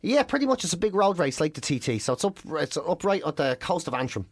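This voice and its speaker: A man from Ireland with an Irish accent, co-hosting a casual podcast, talking in an animated, ranting way with some swearing. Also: on the high side, fast talker, husky, no room sound, studio quality. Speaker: podcast co-host.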